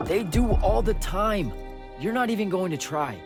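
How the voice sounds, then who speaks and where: A man's voice: high-pitched, animated, moderate pace. A man playing a sardonic young street thief with a little magic, bantering casually in video game dialogue. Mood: teasing, questioning. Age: young adult. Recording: music behind the voice.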